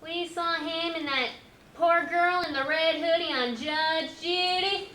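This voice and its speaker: A sassy young lady pig from a comic fairytale play, acted by a woman. The voice is very high and bright, with folksy exclamations. It rings in an echoey room. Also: unhurried, slight background noise, exaggerated voice. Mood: gossipy. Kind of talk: comic stage-play acting.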